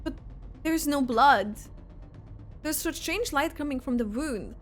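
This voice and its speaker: A woman streamer reacting live to a game and reading its text aloud in an expressive, exclamatory way. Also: sits high, unhurried. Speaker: gaming streamer. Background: game music.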